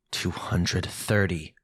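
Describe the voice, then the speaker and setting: A man playing a sinister, possessive vampire lord in an audio roleplay, commanding and formal, with a breathy, gravelly voice. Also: deep voice, pushed and bright, no echo, clean studio sound, exaggerated voice. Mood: angry. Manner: commanding, formal, drawn-out menacing delivery. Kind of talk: boyfriend ASMR audio roleplay.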